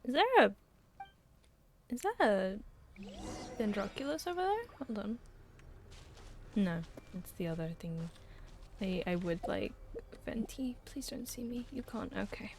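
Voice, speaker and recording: A woman's voice: mid-range voice, big pitch swings, measured pace, long pauses, slight background noise. A woman doing casual live commentary as she streams a video game, muttering reactions as she plays. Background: game music.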